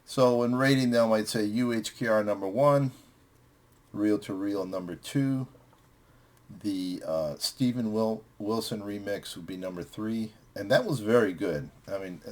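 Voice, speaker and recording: A man hosting a music vlog, chatting casually as he reviews records with friends. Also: on the low side.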